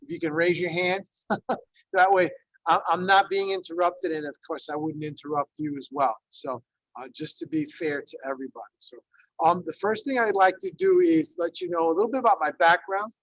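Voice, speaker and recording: A man's voice: sits high, moderate pace, a bit bright, no room sound, poor audio. A man in his fifties from Ecuador, a Spanish teacher leading an online workshop in a conversational way, with many fillers and frequent check-ins. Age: 50s. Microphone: video call.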